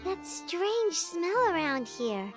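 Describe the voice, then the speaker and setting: A woman's voice: very high voice, big pitch swings, slow pace, faint music behind, full character voice. A woman voicing a tiny, curious, chirpy childlike companion in a video game.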